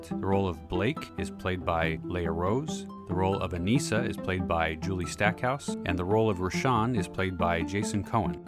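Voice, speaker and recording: A man reading a scripted introduction as the announcer of a play reading. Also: sits low. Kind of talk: scripted narration. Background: loud acoustic guitar music.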